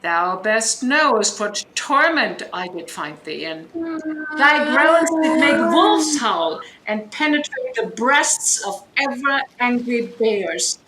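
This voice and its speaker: A woman's voice: fairly high pitch, big pitch swings, slow pace, bright, forceful tone, exaggerated voice, poor audio. An actress playing a stern, imperious sorceress and mother in a Shakespearean audio drama, with declamatory delivery. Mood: threatening.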